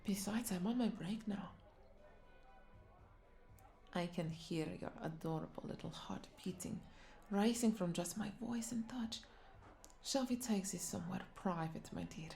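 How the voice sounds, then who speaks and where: Flirty, creaky-voiced vampire bartender played by a woman. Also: medium pitch, a little slow, pauses often, dark tone, soft background music. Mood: seductive, teasing. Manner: flirtatious, teasing, breathy asides dropping into whispers. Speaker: audio roleplay voice performer. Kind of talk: intimate scripted audio roleplay.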